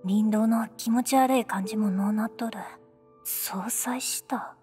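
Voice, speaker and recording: A woman playing a considerate, soft-spoken teenage schoolgirl in a voice comic, with a Mie accent. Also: a little high, fairly even intonation, a little slow, faint music behind, close to an everyday voice. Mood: quiet, thoughtful. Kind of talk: voice comic drama acting.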